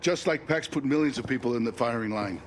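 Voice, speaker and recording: An actor playing the President in a game cutscene, speaking in a grave, commanding way. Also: male voice, medium pitch, fast talker, a little hiss or hum, natural voice.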